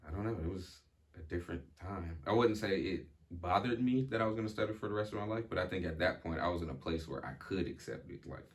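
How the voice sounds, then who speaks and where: A man's voice: low-pitched, fast talker. A young man talking reflectively in casual chat as a podcast guest, with many fillers, restarts and an occasional stutter.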